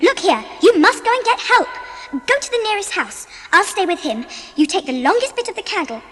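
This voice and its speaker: A woman's voice: very high-pitched, big pitch swings, a little fast, fairly bright tone, in a large hall, exaggerated voice. A woman playing a caring, practical child with an English accent, earnest and kindly, in a dramatised audiobook. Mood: firm, gentle.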